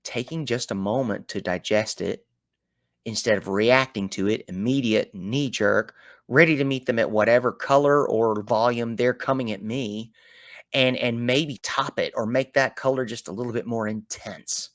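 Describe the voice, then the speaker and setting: A man with a Southern US accent talking through his thoughts in a reflective solo show, animated, with folksy turns of phrase and sudden loud bursts. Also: medium pitch, normal speed, dry recording, clean studio sound. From American South. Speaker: podcast host.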